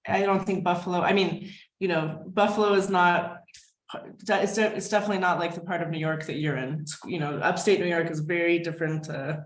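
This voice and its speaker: A woman from the United States speaks in a lowish voice over a video call. She talks through her background in a relaxed, thoughtful way, with plenty of fillers. The room around her is echoey. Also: a little fast. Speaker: guest, permaculture designer. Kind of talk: conversational video-call interview.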